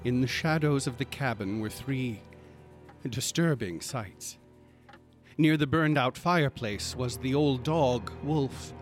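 A man playing a grave storyteller in a radio drama, narrating in a measured, ornate and ominous manner. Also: medium pitch, natural voice. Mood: somber. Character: grave storyteller recounting a grisly tragedy. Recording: music behind.

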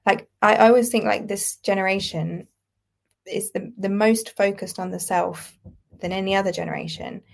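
A woman's voice: medium pitch, steady tone, normal speed, creaky. A woman in her twenties with a British accent, speaking as a podcast guest in a reflective, casually phrased conversational way. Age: 20s. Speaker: guest writer. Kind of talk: conversational interview.

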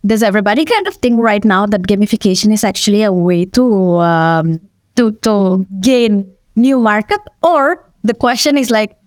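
A woman's voice: medium pitch, animated, moderate pace, darkish tone, dry recording, clean studio sound. A woman panelist talking casually, peppering her speech with "like". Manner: chatty, many "like" fillers.

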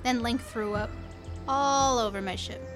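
A woman's voice: a little high, measured pace, music in the background, close to an everyday voice. A woman playing a starship captain and mother in an audio drama, telling stories in a plainspoken way. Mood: wistful storytelling. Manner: plainspoken, storytelling to a child.

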